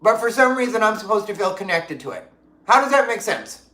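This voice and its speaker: A woman's voice: medium pitch, sing-song, brisk, pushed and bright, echoey room. A woman from Arizona chatting casually on a livestream, reading comments and firing off emphatic rhetorical questions with lots of fillers. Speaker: livestream host. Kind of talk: casual live stream chat reading comments.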